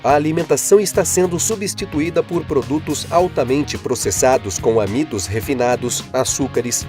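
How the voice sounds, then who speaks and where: Formal male narrator over guitar music. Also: mid-range voice. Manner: even, formal reading. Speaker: video narrator. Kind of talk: scripted documentary narration.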